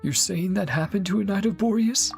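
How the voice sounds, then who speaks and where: A woman's voice: mid-range voice, a little fast, vocal fry, a little mellow. A young adult woman streamer giving chatty reaction commentary, humming along and reading lines aloud. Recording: game music playing behind.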